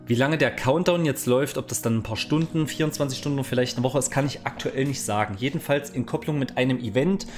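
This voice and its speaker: A man's voice: mid-range voice, fast talker, hardly any pauses, faint music behind. A man in his thirties presenting video game news in a casual, explanatory way, recorded close on a studio mic. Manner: informal, explanatory. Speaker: gaming YouTuber. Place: small indoor home streaming room. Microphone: studio microphone on boom arm, close.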